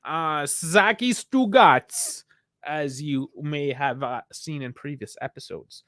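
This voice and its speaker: A man's voice: medium pitch, no room sound, studio quality. A man co-hosting a football podcast in a casual chat, teasing his partner, laughing often and making show announcements.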